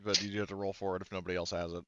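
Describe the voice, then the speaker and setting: A low-voiced man runs a tabletop game in a casual, informal way. His voice is smooth and close, with no room around it, though the audio is a bit dull and lo-fi. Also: little pitch movement, racing, bright, forceful tone, no room sound. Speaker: game master.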